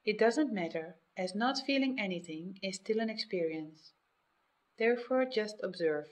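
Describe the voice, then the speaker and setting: Female meditation guide, medium pitch, scripted instruction delivered in measured phrases with pauses. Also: lively intonation.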